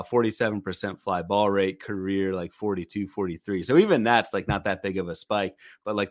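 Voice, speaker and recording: A man's voice: sits low, a bit bright, no echo, studio quality. A man in his thirties co-hosting a podcast, talking through fantasy baseball players casually with lots of fillers and restarts. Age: 30s. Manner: thinking aloud, many fillers and restarts. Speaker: podcast co-host.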